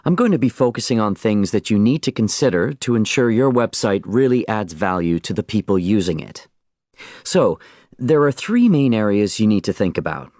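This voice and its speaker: A man delivering a scripted instructional lecture in an orderly, measured way. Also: fairly low pitch, a little mellow, no room sound.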